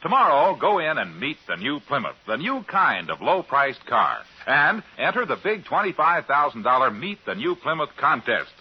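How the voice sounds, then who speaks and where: Male commercial announcer: American, raspy, promotional punch, dull old-time radio sound. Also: on the high side, very animated, moderate pace, few pauses. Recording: muffled old radio recording.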